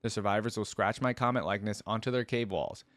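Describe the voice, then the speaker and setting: Male reaction creator, lower-pitched, reads fantasy lore aloud with casual asides, dry close recording. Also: steady tone, quick pace, fairly bright tone, dry recording. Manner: stops to dissect and paraphrase what he reads. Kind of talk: reading a story aloud with casual commentary.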